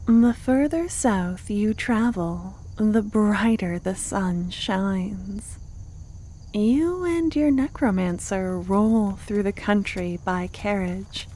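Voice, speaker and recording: Slow, soft-spoken woman narrator. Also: mid-range voice. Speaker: ASMR roleplay creator and narrator.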